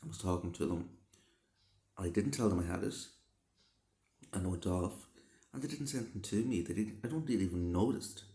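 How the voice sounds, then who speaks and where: A man's hoarse, gravelly voice sounds in an echoey room. He gives casual advice in a dark, mellow tone, reassuring the listener and often rephrasing what he has just said. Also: sits low, fairly quick, pauses often. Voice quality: gravelly, slightly rough. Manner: reassuring, repeats and rephrases himself. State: hoarse from a cold. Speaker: vlogger answering a subscriber. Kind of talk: casual advice talk to camera.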